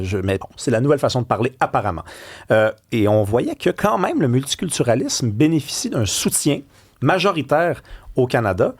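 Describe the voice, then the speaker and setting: Animated husky male radio host. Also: mid-range voice. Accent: Quebec French. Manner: animated, opinionated setup with fillers. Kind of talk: talk radio hosting.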